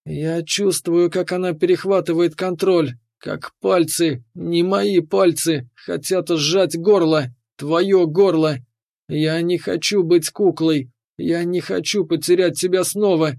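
A man's voice: fairly high pitch, fairly even intonation, slow, creaky, few pauses, a little mellow, clear, resonant tone, no echo, clean studio sound. A man voicing an anguished young woman soldier in an audiobook, pleading, tearful and strained as she fights for her mind. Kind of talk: dramatic audiobook character voice.

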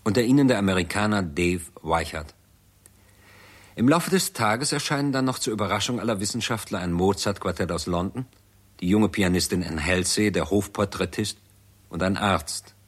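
Low raspy guy narrating an old 60s radio play, sober n measured. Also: expressive. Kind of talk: scripted radio drama narration, 1960s.

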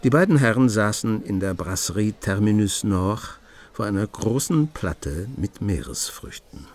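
Ein Mann erzählt ruhig und gemessen die Handlung eines Hörspiels. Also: male voice, lowish voice. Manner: calm, measured storytelling. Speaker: narrator. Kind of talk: scripted narration.

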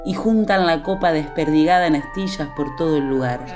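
A woman's voice: sits low, fairly quick, music in the background, lightly acted. A woman delivering scripted narration for a radio play, as its narrator.

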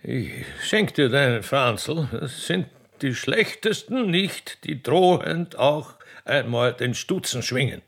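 A man voicing a stately, benevolent old emperor with an Austrian accent, speaking slowly and pompously in a radio play. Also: medium pitch, expressive, vocal fry, a bit bright, slightly rough, pitched above the performer's own voice, heavily acted. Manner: slow, solemn, pompous.

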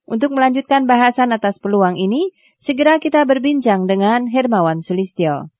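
A young woman hosting a business radio show, reading formal introductions and questions in a smooth, measured way. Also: on the high side, animated, darkish tone, no echo, highs cut off. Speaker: radio host. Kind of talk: scripted radio presenting. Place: radio studio.

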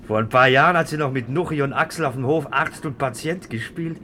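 An actor playing a cocky, teasing young prodigal son in a radio play, brash and sardonic. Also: male voice, mid-range voice, normal speed, bright, forceful tone, natural voice. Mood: teasing, mocking. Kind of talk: German radio play acting. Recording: noisy old radio recording.

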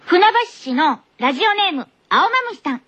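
Woman playing cheeky, high-voiced teenage schoolgirl. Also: lively intonation, moderate pace, fairly bright tone. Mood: bright reading. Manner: playful, forward, girlish. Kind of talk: anime character radio skit. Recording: dull lo-fi radio recording.

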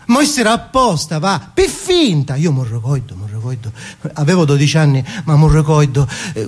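Actor as naive high-voiced Sicilian man, wildly expressive. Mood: wistful, confiding. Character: naive, tender, marginalised Palermo man recalling his childhood. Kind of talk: theatrical dramatic monologue for radio.

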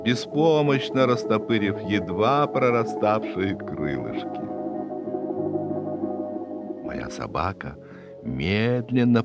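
A man reading a literary short story as a dramatic narration, expressive and with deliberate pauses. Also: mid-range voice. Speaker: audiobook reader. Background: background music.

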